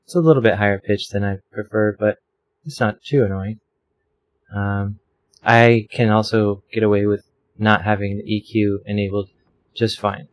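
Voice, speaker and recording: A man's voice: fairly low pitch, steady tone, normal speed, rough recording. Rambling man explaining his mic settings. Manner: rambling, self-deprecating, many fillers. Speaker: streamer explaining audio settings. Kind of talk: casual tutorial. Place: home room with a fan running. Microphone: desk microphone.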